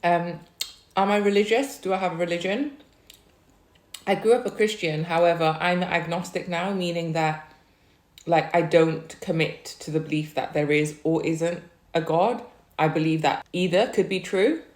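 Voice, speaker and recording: A woman's voice: lowish voice, normal speed, reverberant. A young woman from Wales, hosting a casual eating vlog, chatting about herself and humming as she tastes her food. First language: Chinese. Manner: chatty, savouring food with soft hums between remarks. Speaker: food vlogger.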